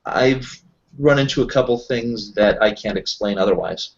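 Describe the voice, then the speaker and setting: A man improvising in a tabletop roleplaying session, talking haltingly and often searching for his words. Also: lowish voice, vocal fry. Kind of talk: improvised in-character roleplay chat. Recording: dull lo-fi voice recording.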